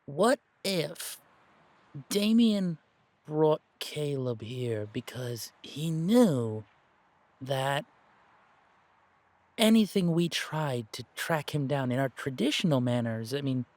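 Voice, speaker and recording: A man playing an earnest, anxious young werewolf who thinks aloud haltingly in an audio roleplay. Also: mid-range voice, darkish tone, no room sound. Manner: hesitant, rambling, halting.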